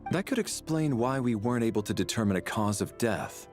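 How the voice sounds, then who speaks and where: A man's voice: mid-range voice, lively intonation, brisk, darkish tone, soft background music, close to an everyday voice. An actor playing a sober, reserved man in a video game, weighing things up in scripted dialogue. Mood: grave, considering.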